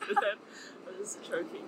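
A young woman co-hosting a podcast in casual slangy chat, laughing often. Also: very noisy. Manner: giggly, laughs often, loose slangy talk. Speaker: podcast co-host.

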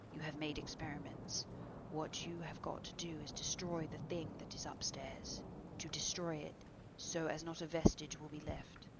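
A woman voicing a desperate young man who whispers an urgent dark confession. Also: low voice, heavy background noise.

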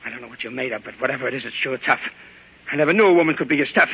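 A man's voice: mid-range voice, racing, a bit bright, a little hiss or hum, natural voice. An actor playing a nervous, hard-drinking gangster henchman in a 1940s radio crime drama, with slangy, whining tough-guy talk. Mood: wretched, drunken. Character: jittery, hard-drinking, conscience-stricken male gangster henchman. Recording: muffled old radio recording.

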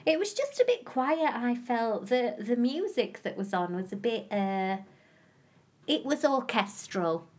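A woman's voice: a little high, animated, measured pace. A woman co-hosting a podcast in casual chat, teasing her partner playfully. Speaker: podcast co-host.

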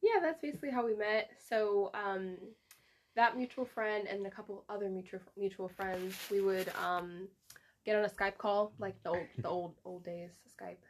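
A woman's voice: medium pitch, steady tone, normal speed, a little hiss or hum. A young woman, a podcast guest, telling how she met her fiancé in a casual way with lots of "like" and "um". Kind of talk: casual interview chat.

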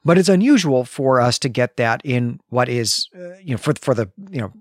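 A man co-hosting a podcast, explaining and recapping fiction conversationally in measured phrases on a close microphone. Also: mid-range voice, animated, dry recording. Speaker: podcast co-host. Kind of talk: literary discussion and recap, conversational.

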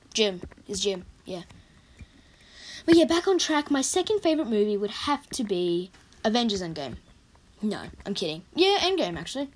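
Young woman chatting casually, lots of ums, rambly and unsure. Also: on the high side. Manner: rambling, hesitant with many fillers. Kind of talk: casual chat about favorite movies.

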